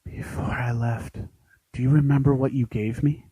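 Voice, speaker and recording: A man voicing a tender, devoted young soldier returned from death, speaking in a hushed, halting, earnest way in audition drama style. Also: mid-range voice, fairly even intonation, husky, no echo, close to an everyday voice. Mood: gentle, searching.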